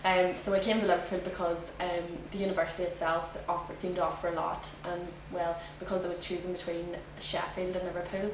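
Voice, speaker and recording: A young Northern Irish woman talks through interview answers in a chatty way, her voice sitting a little low. She is heard from a distance in an echoey room, with clear background noise under her. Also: little pitch movement, a little fast, few pauses. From Northern Ireland. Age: young adult. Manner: chatty, laughs often, many fillers. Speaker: student interviewee. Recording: echoey room, from afar, noisy.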